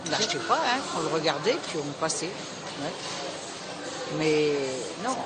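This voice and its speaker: Older lady chatting away, lively up-and-down voice, noisy background. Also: deep voice, normal speed. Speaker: retiree being interviewed. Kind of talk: casual chat.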